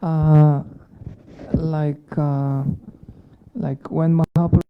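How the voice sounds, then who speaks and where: A man sharing a spontaneous devotional reflection as a class participant, halting and repeating his words. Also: on the high side, little pitch movement, clean tone, dull audio. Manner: halting, repetitive phrasing.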